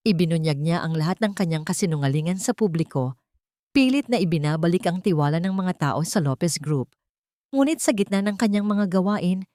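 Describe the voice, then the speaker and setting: Low-pitched Filipino woman narrating drama. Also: a little mellow, dry recording, studio quality. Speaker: audiobook narrator. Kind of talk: scripted dramatic story narration.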